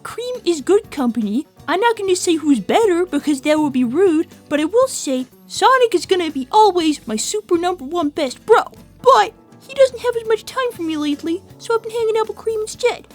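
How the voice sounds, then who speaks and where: A woman voicing an earnest, chatty young animal character in a cartoon fan dub. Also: extremely high pitch. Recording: background music under voice.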